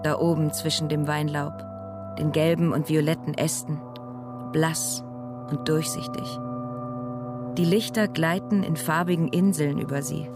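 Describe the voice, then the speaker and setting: A woman's voice: deep voice, fairly even intonation, unhurried, music in the background. A woman giving literary narration in a radio drama.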